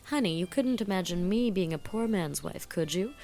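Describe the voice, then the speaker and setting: A woman's voice: lowish voice, animated, normal speed, darkish tone, soft background music. A woman voicing a chattering, fun-loving girl of eighteen in a dramatized audiobook, gushing and playfully dramatic. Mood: chatty. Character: giddy, flighty 18-year-old girl, fond of fun.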